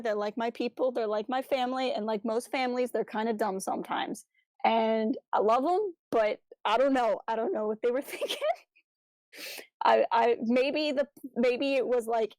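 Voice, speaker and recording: A woman talks casually as a podcast co-host, her voice sitting a bit high. She works out her thoughts aloud in broken, hesitant phrases. The sound is dry, with no room echo. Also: a little mellow. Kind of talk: casual discussion of a fan audio drama review.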